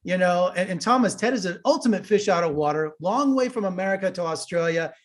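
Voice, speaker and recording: A man's voice: high-pitched, animated, fast. A man interviewing two actors, asking chatty, enthusiastic questions. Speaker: interviewer, film critic. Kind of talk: casual interview.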